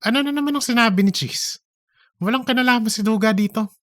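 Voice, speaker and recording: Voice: mid-range voice, a little fast, fairly bright tone, no echo, studio quality, lightly acted. A performer acting out chatty office colleagues in a comedic audio drama, with an exaggerated, teasing conversational manner. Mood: whiny.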